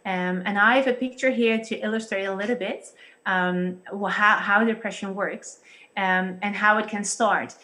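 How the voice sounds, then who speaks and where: A woman's voice: medium pitch, fairly bright tone. Dutch woman explaining over video call. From Netherlands. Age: 30s. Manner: careful, halting explanation with many ums. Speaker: pastor and psychologist guest.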